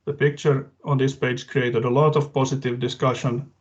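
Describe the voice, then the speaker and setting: A man's voice: medium pitch, little pitch movement, normal speed. A man giving a prepared case-study talk on a webinar, reading steadily with small restarts. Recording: dull lo-fi video-call audio.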